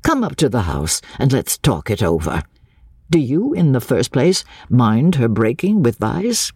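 A woman's voice: extremely low pitch, wildly swooping pitch, measured pace, a little mellow, breathy edge, no echo, clean studio sound, full character voice. A woman voicing a courteous, persuasive clergyman in a storybook reading. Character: tactful, earnest male clergyman, persuasive and courteous.

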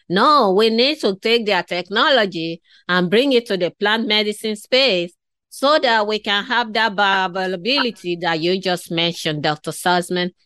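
A woman's voice: mid-range voice, a bit bright, clean tone, no room sound. A woman interviewer from West Africa asking long, enthusiastic questions. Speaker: podcast host.

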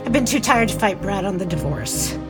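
A woman acting a weary, exasperated bus company owner in a dark comedy podcast, with a dry, self-deprecating manner. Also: mid-range voice, lively intonation, brisk, loud music behind the voice, close to an everyday voice. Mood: weary, confessional. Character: weary, wronged small-town woman running a bus company.

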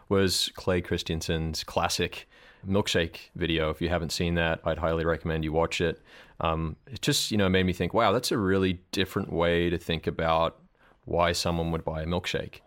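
A man, a marketing director, explaining his approach thoughtfully in a conversational interview, with frequent ums and uhs. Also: low-pitched.

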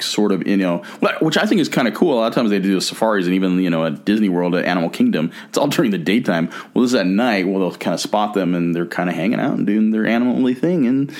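A man co-hosting a podcast in casual chat, halting and often repeating his words and phrases. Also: fairly low pitch, darkish tone. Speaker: podcast co-host.

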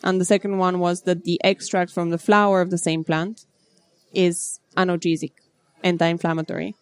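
A woman in her thirties from Bulgaria speaks as a company founder in an interview. Her voice sits low and steady with little rise and fall, smooth and clear. She answers in a composed, businesslike manner, recorded close and dry with no room sound. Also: moderate pace, no echo. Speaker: startup founder.

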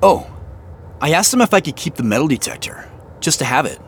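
Younger brother, excited treasure hunter in an audio drama; hugely animated pitch, faint car noise behind. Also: male voice, brisk. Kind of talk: audio drama dialogue, naturalistic phone call. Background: car driving.

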